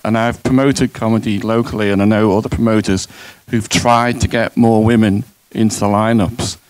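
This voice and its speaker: A man's voice: fairly low pitch, fairly even intonation, normal speed, darkish tone, no room sound. A man in the audience, a local comedy promoter, asking an earnest question with a few stumbles.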